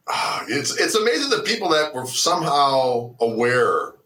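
Bright-voiced middle-aged musician, echoey room. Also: male voice, medium pitch, big pitch swings. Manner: storytelling, halting restarts. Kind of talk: casual chat.

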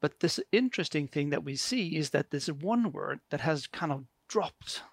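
A man's voice: on the high side, animated, moderate pace, creaky, husky, no echo. A man from Sweden with a Swedish accent, a guest explaining his work in a hesitant, filler-heavy way. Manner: hesitant, many fillers and restarts. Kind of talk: conversational explanation.

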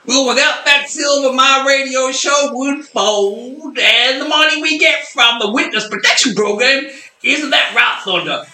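A folksy frontier old-timer for a radio western. The voice is high and piercing, with slow, stretched-out phrases. It is echoey and a bit off mic. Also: male voice, bright, forceful tone, distant mic, slight background noise, full character voice. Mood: folksy, gloating. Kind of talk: amateur western radio drama acting.

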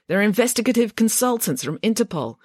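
A woman's voice: medium pitch, very animated, fairly quick, breathy edge, dry recording, studio quality, pitched above the performer's own voice. A woman voicing a big burly uncle, patient and matter-of-fact, in a story.

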